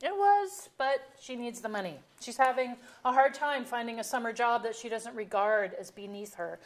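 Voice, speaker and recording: A woman's voice: on the high side, moderate pace, natural voice. A woman playing a sardonic, blunt middle-aged wife and mother in a staged comedy reading, with a dry delivery. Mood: wry. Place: auditorium stage.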